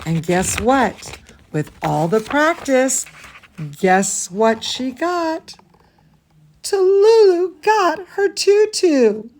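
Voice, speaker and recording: A woman reading a bedtime story to children as their dance teacher, theatrical and gushing, with drawn-out emphasis. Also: a little high, a little mellow.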